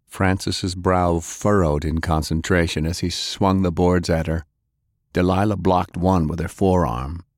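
A man's voice: low-pitched, steady tone, measured pace, no echo, clean studio sound. A man reading an adventure novel aloud as scripted audiobook narration.

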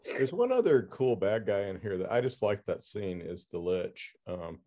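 A man's voice: on the low side, big pitch swings, moderate pace, mellow. A man hosting a podcast, chatting casually as he interviews a guest about a book.

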